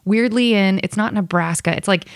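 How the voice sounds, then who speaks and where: A woman's voice: mid-range voice, moderate pace, no echo, clean studio sound. A woman hosting a casual interview, teasing her guest playfully and laughing easily. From United States. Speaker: interviewer host.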